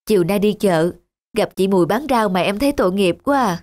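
A woman's voice: medium pitch, expressive, fairly quick, no room sound, close to an everyday voice. Caring, principled cartoon mother played by a woman. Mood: sympathetic. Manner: firm but gentle. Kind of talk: animated moral tale dubbing. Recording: background music under the voice.

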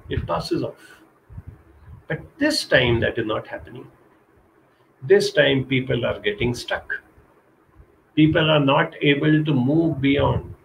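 A middle-aged man from India giving an earnest motivational talk over a webcam from a small curtained room, slowing down to stress key points. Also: medium pitch, gravelly, slight background noise, rough recording. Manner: earnest, urging, slows down for emphasis. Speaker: counselor giving a live talk. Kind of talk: motivational live-stream talk. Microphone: webcam video call.

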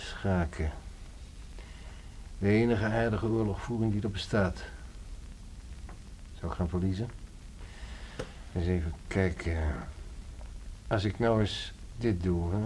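An actor playing a wary, ironic writer in hiding during wartime, in a radio drama. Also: male voice, low-pitched, mellow, slight background noise, poor audio. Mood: musing.

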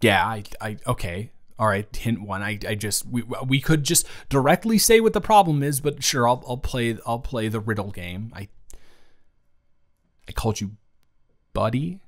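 A man's voice: mid-range voice, lightly acted. Man playing a flustered, awkwardly romantic best friend. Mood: confused, hesitant. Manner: hesitant, stammering, halting delivery. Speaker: audio roleplay performer. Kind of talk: improvised romantic audio roleplay, intimate one-sided conversation.

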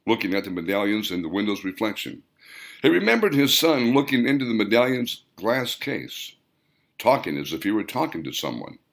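A man reading an audiobook story aloud in a measured, dramatic storytelling manner. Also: on the low side, very animated. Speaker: author reading own audiobook. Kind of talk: scripted audiobook narration.

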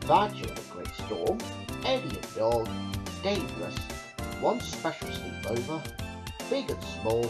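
A woman's voice: rumbling low, measured pace, over loud music. A woman reading a children's picture book aloud, expressively, as a storyteller. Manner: expressive, with big swoops into character voices. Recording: dull lo-fi home recording.

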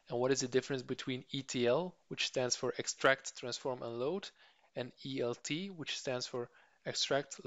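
A male instructor talks through course slides in a steady, explaining way, with a flat, even pitch line. His voice is smooth and clean, recorded close and dry. The sound is slightly dull and lo-fi. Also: dry recording. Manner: steady explanatory delivery with occasional fillers. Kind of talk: slide-based course introduction. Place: voice-over for slides.

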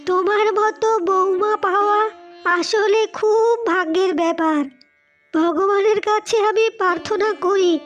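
A woman voicing a frail, gentle old mother-in-law in a cartoon tale, beseeching and devout. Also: very high-pitched. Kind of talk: Bengali cartoon fairy-tale voice acting.

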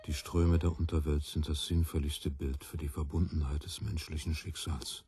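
A man's voice: very deep voice, flat delivery, mellow, husky, no echo, soft background music, exaggerated voice. A man playing a grave, solemn narrator of myths in a radio play. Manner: solemn, measured.

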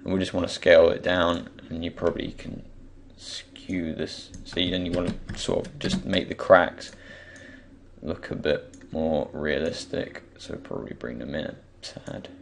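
A young man in his tutorial voice, croaky and rough because he has just got up. He sits very low and rasps, pausing often to think aloud. Mouse clicks and faint hiss sit under his voice. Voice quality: croaky, gravelly. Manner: relaxed, thinking aloud with many pauses. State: just woken up. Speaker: tutorial creator. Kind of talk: screen-recorded software tutorial.

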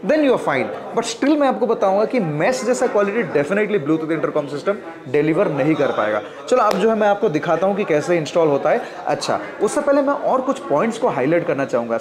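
Indian male reviewer, thirties, slightly high voice, casual and explanatory, talking to viewers like friends. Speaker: video host and product reviewer. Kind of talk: casual product review chat, mixing in English. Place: indoors at a wooden table. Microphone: clip-on wireless lapel mic.

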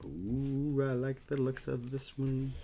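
A man's voice: medium pitch, level tone, slow pace, crowd noise. A middle-aged man chatting casually as he hosts a card-opening show from a desk in a small room. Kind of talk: casual chat while showing cards.

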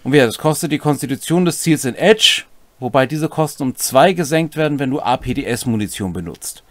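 A man's voice: mid-range voice, lively intonation, a little fast, no room sound. A middle-aged man casually explains game rules, adding wry, colloquial asides. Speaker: host of a tabletop role-playing rules series. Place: small furnished room at home, indoors.

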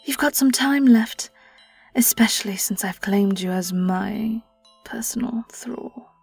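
A woman performs a vengeful female vampire with a British accent in a horror audio drama. She speaks slowly and theatrically, mocking her prey and dropping into silky whispers. The voice sits back in an echoing space with music behind it. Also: fairly high pitch. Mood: teasing, predatory. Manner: taunting, theatrical, slipping into breathy whispers. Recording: roomy, distant, music behind.

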